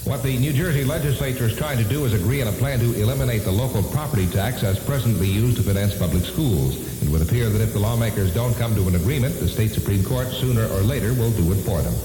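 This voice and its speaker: A man's voice: lowish voice, fast talker, hardly any pauses. A man anchoring a radio news bulletin, reading from a script on 1970s AM radio. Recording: hissy 1970s AM radio, reverberant.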